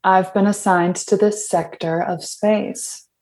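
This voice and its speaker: A woman's voice: medium pitch, steady tone, takes it slowly, darkish tone. An actress playing a composed, teasing female space officer in a comedic radio play. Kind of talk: comedic radio theater acting.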